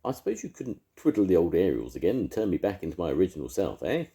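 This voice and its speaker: A man's voice: deep voice, very animated, fast talker, mellow, voice pushed up. A man voicing a polite, posh male beast, a cursed prince, with a plummy upper-class drawl.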